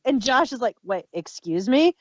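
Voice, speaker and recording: A woman with a fairly high voice chats casually as a podcast co-host. Her pitch swings widely as she makes wry remarks, and the recording is dry and slightly dull. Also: very animated, moderate pace, no echo. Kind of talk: casual chat.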